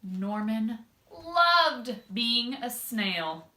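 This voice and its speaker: A woman doing an expressive children's read-aloud, drawing out words and speaking straight to young listeners. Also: a little high. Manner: expressive, drawn-out emphasis, addresses young listeners directly. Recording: echoey room.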